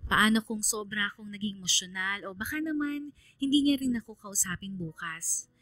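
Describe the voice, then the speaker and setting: A woman's voice: medium pitch, normal speed, a bit bright, no room sound, lightly acted. An actress playing a reflective, shy female student who tells her story as radio drama narration. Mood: anxious, tender. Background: music under the voice.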